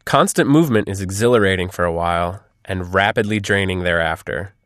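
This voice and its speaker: Lowish-voiced man, scripted interview guest. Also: a little fast, fairly bright tone, no room sound, studio quality. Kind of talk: scripted interview answers read aloud.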